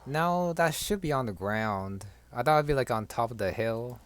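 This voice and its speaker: A man giving casual, low-key game commentary, thinking aloud as he plays. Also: animated, moderate pace, a little hiss or hum. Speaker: gamer playing through a game. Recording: game music behind.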